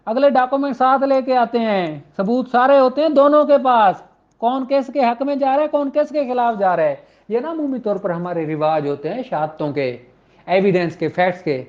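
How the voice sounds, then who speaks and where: A man's voice: very high voice, animated. A man with a Pakistani accent giving an informal law lecture in a conversational, questioning way. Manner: conversational, poses rhetorical questions, acts out courtroom exchanges; switches between Urdu and slowly read English legal text. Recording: dull, lo-fi muffled audio.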